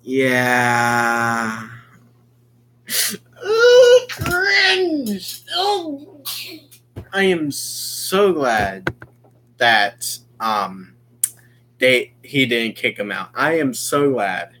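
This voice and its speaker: A game streamer giving live commentary on a visual novel, exclamatory and sweary, halting and often repeating phrases. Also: medium pitch, huge pitch swings, fairly bright tone. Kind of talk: live visual novel playthrough commentary, reading lines aloud.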